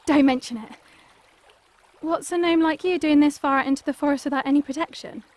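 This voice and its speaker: A woman's voice: high-pitched, fairly even intonation, fairly quick, a little mellow, natural voice. A young woman playing a blunt, offhand runaway princess who hunts monsters, in an audio drama. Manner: blunt, offhand, dismissive of danger. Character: bold young princess turned monster hunter.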